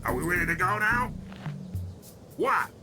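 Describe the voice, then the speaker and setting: A woman's voice: fairly low pitch, lively intonation, unhurried, over music. A woman voicing a smug, breezy young boy superhero in a cartoon game, flippant and cocky.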